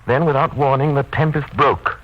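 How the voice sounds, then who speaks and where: An actor playing a sober, formal doctor who narrates gravely in old radio drama style. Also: male voice, medium pitch, fairly even intonation, moderate pace, natural voice. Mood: ominous narration. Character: sober male doctor formally presenting evidence. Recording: muffled vintage radio recording.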